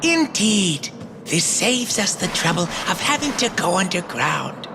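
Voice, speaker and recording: Smug villain's henchman, taunting, wheedling, slow swooping delivery, game voice, noisy background. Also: lowish voice, big pitch swings. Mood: gloating. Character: sly, smug, bullying villain's henchman sent by the king. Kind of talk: anime-style video game voice acting. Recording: clear background noise and ambience.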